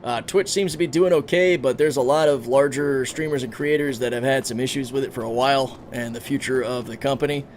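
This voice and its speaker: A man's voice: medium pitch, fairly even intonation, a little fast, few pauses, a little hiss or hum. Chatty man in his thirties streaming a game. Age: 30s. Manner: chatty, reads out and answers chat comments. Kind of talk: casual livestream chat while gaming.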